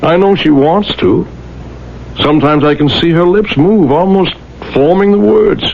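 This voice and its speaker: An actor playing a gentle, devoted merchant husband, sorrowful and earnest, in a 1970s radio drama. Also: male voice, high-pitched, measured pace, vocal fry. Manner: earnest, emotional, pleading. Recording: dull, noisy old radio recording.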